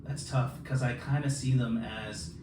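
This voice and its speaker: A man's voice: medium pitch, steady tone, unhurried. A man co-hosting a podcast in casual, playful, slangy banter about books with a friend. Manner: playful, slangy, acts out quoted lines. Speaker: podcast co-host. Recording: echoey room, distant mic, clear noise.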